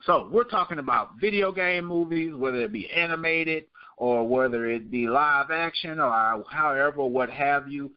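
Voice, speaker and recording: A man's voice: fairly high pitch, normal speed, no echo, dull audio. A man hosting a casual panel show, chatty and familiar with his guests. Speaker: show host.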